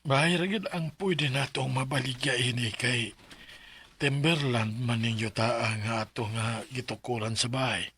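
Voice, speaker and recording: A man acting a resigned, middle-aged father with a husky voice in a radio drama. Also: medium pitch, steady tone, unhurried, fairly bright tone, a little hiss or hum, lightly acted. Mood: resigned, practical. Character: resigned, ailing father of a grown daughter.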